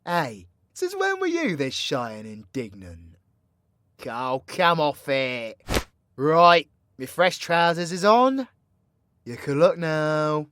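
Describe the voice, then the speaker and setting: A man's voice: on the high side, wildly swooping pitch, slow, a bit bright, exaggerated voice. A British man playing a cocky, foul-mouthed, vengeful supervillain magician in an audio roleplay, with slangy, theatrical, teasing banter. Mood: mocking, exasperated. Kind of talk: ASMR-style audio roleplay, addressing an unheard companion.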